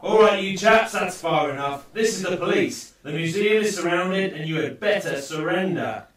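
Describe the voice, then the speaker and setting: A man's voice: high voice, lively intonation, normal speed, fairly bright tone, distant mic. A man playing an earnest, dutiful police inspector in a steampunk radio play, formal and resolute. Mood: commanding. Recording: very echoey room, noisy, off mic.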